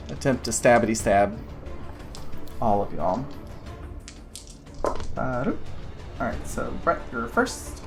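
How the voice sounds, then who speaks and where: A woman narrating a tabletop game as game master, loose and giggly with lots of fillers. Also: a bass voice.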